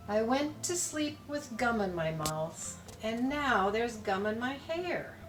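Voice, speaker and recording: A woman reading a children's storybook aloud expressively, with dramatic emphasis and deliberate pauses on key words. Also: a little high, animated. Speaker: storybook reader. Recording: echoey room, distant mic, music behind.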